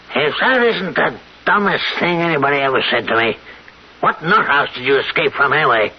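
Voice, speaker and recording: An actor playing a gruff, skeptical man in his seventies, impatient and dismissive, in old-time radio drama style. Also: on the high side, lively intonation, normal speed. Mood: scornful, impatient. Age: 70s. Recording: dull lo-fi old radio recording.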